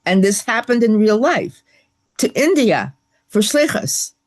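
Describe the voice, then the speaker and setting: A woman's voice: on the high side, sing-song, unhurried. A woman in the audience of a class asking the rabbi a question.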